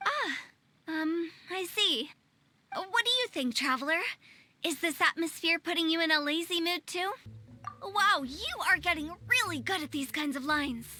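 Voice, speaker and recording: A perky young woman of a game cast, with a very high, bright voice. The manner is teasing, chatty and theatrical, over faint music. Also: expressive, a little slow, heavily acted. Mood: caring, chatty. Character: playful, teasing, perky young woman funeral parlor director. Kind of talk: video game voice acting.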